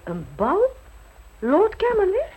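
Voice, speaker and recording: Lively, coy society adventuress. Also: female voice, extremely high pitch, takes it slowly, narrow, tinny audio, full character voice. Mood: arch, knowing. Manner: coy, knowing, arch. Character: beautiful ambitious widow, worldly adventuress, coolly flirtatious. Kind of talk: radio play acting.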